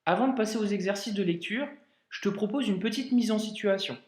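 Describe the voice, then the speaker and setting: French male tutor, slightly high-pitched, relaxed and encouraging, recorded with room echo. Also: brisk. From France. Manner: casual, reassuring, frequent "hein" and "d'accord". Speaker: Arabic reading teacher. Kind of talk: friendly tutorial speaking directly to the learner.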